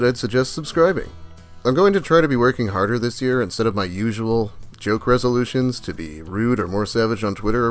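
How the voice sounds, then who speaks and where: A man hosting a casual commentary video on online posts, with a wry, self-deprecating way of talking. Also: mid-range voice. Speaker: host, video creator.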